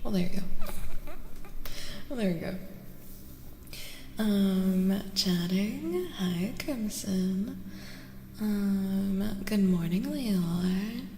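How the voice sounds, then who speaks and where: A soft-spoken woman hosting an ASMR livestream, often near a whisper, greeting viewers in a welcoming way and drifting between repeated phrases and asides. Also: medium pitch, animated, very slow, pauses often. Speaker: livestream host. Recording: roomy reverberant sound with faint noise.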